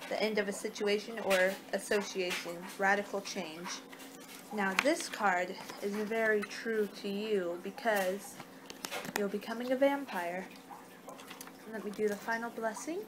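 A woman's voice: medium pitch, fairly even intonation, measured pace. Young woman, ASMR role-play, noisy recording. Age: young adult. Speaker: ASMR performer in a fortune-teller role-play. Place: small home room, indoors. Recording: noisy amateur webcam-style room recording.

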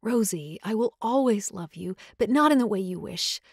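A woman voicing a young male alpha werewolf who speaks softly and apologetically, with halting restarts, in an audiobook. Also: medium pitch, expressive, breathy edge, dry recording, clean studio sound. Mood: tender. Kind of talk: audiobook character voice.

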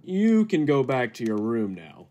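A man playing an earnest, persuasive laboratory assistant in 1940s radio style, with a husky voice. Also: medium pitch, wildly swooping pitch, measured pace, noisy background. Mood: amused. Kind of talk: 1940s radio drama acting.

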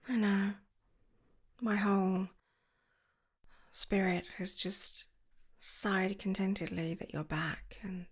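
A young woman doing a girlfriend roleplay to the listener, soft and affectionate, giggling and slipping into whispers. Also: medium pitch, husky. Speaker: ASMR roleplay creator.

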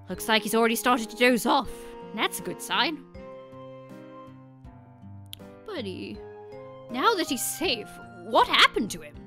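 A woman plays an earnest young penguin agent in a cartoon game. The voice is high and bright, with a gentle, caring, casual way of talking.

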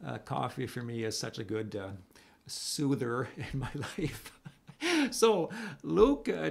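Raspy gravelly middle-aged priest. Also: male voice, mid-range voice. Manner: conversational, animated hand gestures, reads scripture slowly. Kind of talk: video homily to camera. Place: small room at home.

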